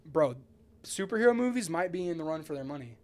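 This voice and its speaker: A man hosting a casual podcast, talking excitably in slang, swearing freely and repeating himself for emphasis. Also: on the high side, quick pace. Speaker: podcast host.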